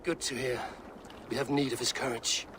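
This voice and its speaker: An actor voicing a steady male Viking raider hero with a measured, dry-witted delivery in a video game cutscene. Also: medium pitch, noisy background, close to an everyday voice. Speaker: game protagonist.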